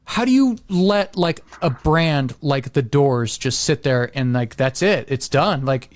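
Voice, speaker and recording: A man's voice: medium pitch, expressive, measured pace, dry recording. A man talking casually and with opinions, in the manner of a podcast host.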